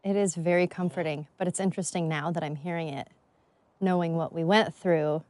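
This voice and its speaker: A woman performer giving casual, reflective commentary, expressive and acting out her reactions. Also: on the low side, fairly quick, no room sound.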